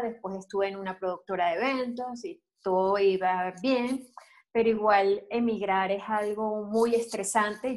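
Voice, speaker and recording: A woman in her forties with a Venezuelan accent speaks in a middle-range voice. She tells her story in a steady, open way, as a guest on an interview. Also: mid-range voice. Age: 40s. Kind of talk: video call interview.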